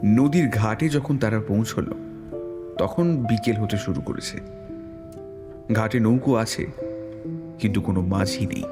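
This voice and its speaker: A man's voice: on the low side, measured pace, pauses often. A man narrating a scripted suspense story in a dramatic storytelling style. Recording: prominent background music.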